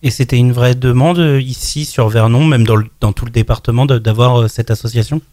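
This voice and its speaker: A man's voice: mid-range voice, fairly even intonation, fairly quick, mellow, dry recording. A man hosts a local radio show, putting friendly questions to his guests with small hesitations. His voice is in a middle register. The sound is dry and close. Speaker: radio host. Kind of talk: radio interview. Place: radio studio.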